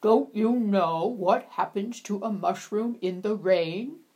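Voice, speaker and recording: A loud laughing frog. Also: female voice, mid-range voice, takes it slowly, husky. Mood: mocking. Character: fat green frog, loud and mocking.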